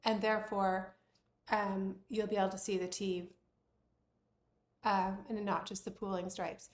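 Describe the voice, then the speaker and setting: A woman in her forties or fifties from Bermuda, hosting a knitting podcast in casual chat, her voice a little croaky and her talk rambling with lots of fillers. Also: medium pitch, little pitch movement, unhurried, echoey room, a little hiss or hum. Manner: chatty, rambling with many fillers. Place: room at home with doors closed.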